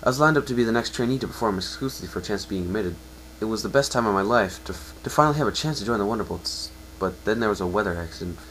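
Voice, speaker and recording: A low-pitched, downcast young pegasus from a fan-fiction reading, played by a man. He speaks earnestly and haltingly, blaming himself. The recording has faint noise. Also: a bit bright, close to an everyday voice, low-quality recording.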